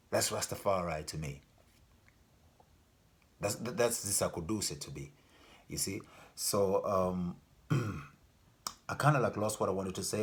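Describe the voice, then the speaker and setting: A man who grew up in Denmark, speaking earnestly as a festival organizer in an informal appeal, repeating himself and pausing with fillers. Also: medium pitch. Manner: earnest, repetitive, loses his thread with fillers.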